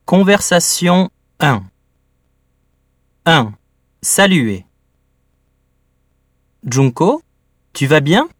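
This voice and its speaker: A man narrating a language course, reading words and headings very slowly with deliberate articulation. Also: on the high side, very animated, a bit bright, no room sound, studio quality. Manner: very slow, deliberate, exaggerated articulation. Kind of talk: scripted pronunciation lesson reading.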